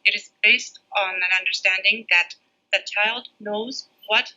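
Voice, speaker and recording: A middle-aged woman from Japan, raised in the United States, a preschool director giving thoughtful interview answers with many pauses. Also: medium pitch, steady tone, sharp tone. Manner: thoughtful, pauses often with fillers. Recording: muffled lo-fi audio.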